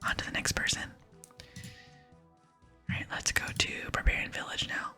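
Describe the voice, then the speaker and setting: A woman giving a hushed, soft-spoken ASMR reading of video game dialogue as its host. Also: moderate pace, faint music behind.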